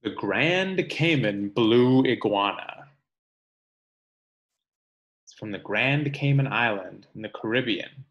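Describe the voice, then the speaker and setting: A man in his thirties, a teacher reading a picture book aloud to children in measured, clear phrases at home. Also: mid-range voice, expressive, fairly bright tone, lo-fi sound. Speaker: teacher reading aloud to third-grade class. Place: room at home.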